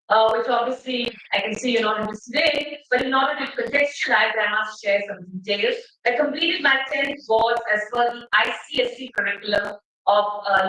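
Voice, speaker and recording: A middle-aged woman from Bengal with an Indian English accent, giving a measured, rhetorical seminar lecture with slides. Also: on the high side, sharp tone. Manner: measured, rhetorical, asks the audience questions. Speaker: seminar speaker. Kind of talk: academic lecture presentation with slides. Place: seminar hall. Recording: echoey hall, mic at a distance.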